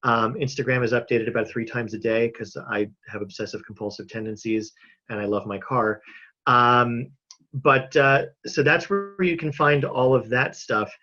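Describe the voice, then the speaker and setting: A man talking earnestly and casually as an interview guest on a video call from home, thoughtful and halting with emphatic pauses. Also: medium pitch, fairly even intonation, sharp tone, soft background music. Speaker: interview guest, mental health advocate and filmmaker. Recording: slightly dull video-call audio.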